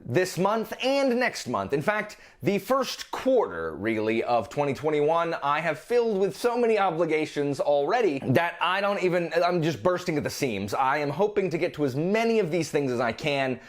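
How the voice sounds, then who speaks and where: A man's voice: fairly high pitch, sing-song, normal speed, hardly any pauses. A man hosting a vlog, talking casually and informally about his reading list with playfully exasperated asides. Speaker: book vlogger, channel host.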